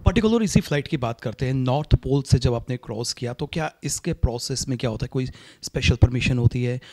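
A man's voice: mid-range voice, fairly quick, warm tone. A middle-aged man with an Indian accent conducting a news interview, introducing his guest and asking questions. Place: indoor living room, seated interview. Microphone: handheld reporter mic.

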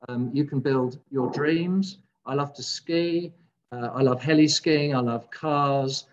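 A man's voice: mid-range voice, slow pace. A man in his fifties giving a business presentation over a video call. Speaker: presenter of a marketing overview. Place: home kitchen, indoors. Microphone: video call, computer microphone. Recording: dull, muffled video call audio.

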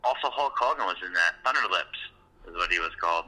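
A man's voice: sits low, expressive, brisk, pushed and bright, poor audio. A man hosting a casual podcast, joking around, teasing his co-host and laughing often. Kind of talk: casual chat.

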